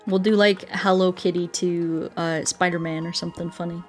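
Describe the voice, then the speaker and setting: A woman's voice: fairly low pitch, fairly even intonation, normal speed. Playful woman chatting over music. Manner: playful, rambling, goofy. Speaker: game livestreamer. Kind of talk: casual livestream chat while playing.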